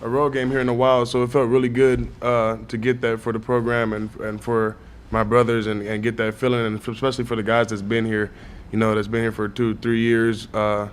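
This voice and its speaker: A man's voice: mid-range voice, flat delivery, brisk. A young man, a college athlete, giving casual answers as an interview guest. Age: young adult. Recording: noticeable background noise.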